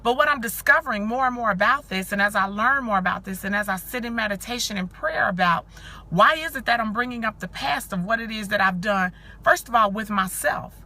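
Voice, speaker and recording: A woman in her forties talks to her live-stream audience with a hoarse, scratchy voice, worn down from cheering. Her tone is still bright and forward. She chats loosely and greets viewers by name as she goes. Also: medium pitch, fairly quick. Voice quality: scratchy, growly. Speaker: live-stream host and coach for mothers. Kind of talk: casual motivational live stream.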